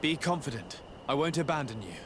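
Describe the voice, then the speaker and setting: A man's voice: on the high side, lively intonation, brisk, noisy background, close to an everyday voice. An actor playing a formal, commanding male noble legate in a video game. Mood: composed.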